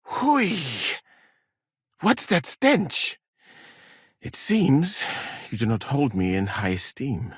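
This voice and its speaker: Scholar far from home, game character, polite n wry, bit husky, super swoopy intonation. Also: male voice, medium pitch, huge pitch swings, takes it slowly, long pauses, no room sound, clean studio sound, full character voice. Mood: disgusted, then sardonic. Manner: formal careful phrasing, wry and theatrical. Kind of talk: game voice lines, expressive acting.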